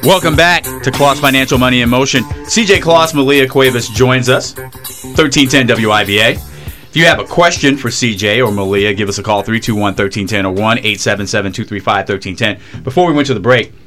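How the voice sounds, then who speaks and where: A man co-hosting a radio money show who is losing his voice, friendly and brisk. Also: mid-range voice, bright, forceful tone, faint music behind.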